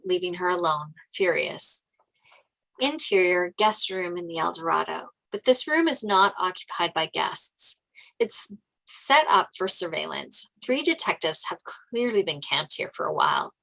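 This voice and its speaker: Woman narrating a script, crisp forward bright voice, a bit low. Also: lively intonation, a little slow, rough recording. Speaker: stage-direction narrator.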